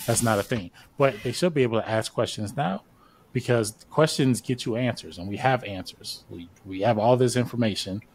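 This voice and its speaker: A man's voice: medium pitch, normal speed, slight background noise. A man in his thirties, a podcast guest on a video call, explaining his views at length in a casual, thoughtful way. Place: home studio, indoors. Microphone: large studio mic on video call.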